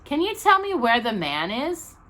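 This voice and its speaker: Small earnest bear for a storybook, female reader. Determined, emphatic, bright and high. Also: huge pitch swings, moderate pace, heavily acted. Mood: patient, purposeful. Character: small earnest bear, indignant, stubborn and polite.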